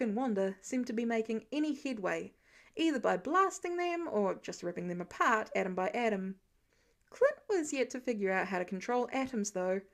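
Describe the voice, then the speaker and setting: High-pitched woman reading a story expressively. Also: gravelly. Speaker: fan fiction reader. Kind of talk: expressive scripted story narration.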